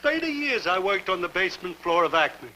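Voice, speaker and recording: A man's voice: high-pitched, very animated, fairly quick, bright, forceful tone, slight background noise, full character voice, rough recording. An older actor playing a mild, polite grandfather who has been forced to retire, in a 1950s television drama. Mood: wondering. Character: gentle, wistful old grandfather.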